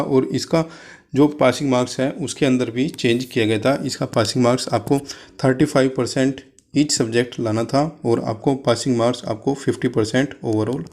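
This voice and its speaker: A man's voice: mid-range voice, a little mellow. Man explaining step by step. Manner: code-mixes English terms, step-by-step explaining. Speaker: exam coaching presenter. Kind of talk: informal explainer addressing students.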